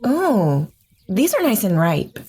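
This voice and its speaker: An actress playing a gentle young village wife who giggles and speaks earnestly, in an audio drama. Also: female voice, medium pitch, wildly swooping pitch, takes it slowly, husky, full character voice. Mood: calm.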